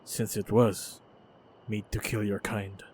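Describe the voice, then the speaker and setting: A man playing a stoic, wry and protective monster hunter in a fantasy action audio roleplay, speaking in terse, measured, understated lines. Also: fairly low pitch, level tone, background noise. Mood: guarded, calm.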